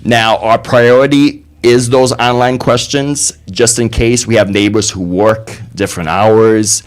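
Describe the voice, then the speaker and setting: A man's voice: mid-range voice, fairly even intonation, measured pace. A man moderating a neighborhood candidate forum, introducing himself and explaining the format in a plain, organized way. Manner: plain, organized, with occasional fillers. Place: community media center room.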